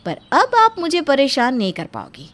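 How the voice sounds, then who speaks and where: A woman's voice: high voice, wildly swooping pitch, normal speed, fairly bright tone, full character voice. A woman voicing a short-tempered, threatening young daughter-in-law in a cartoon moral story, scolding with sarcasm and grievance. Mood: aggrieved, threatening.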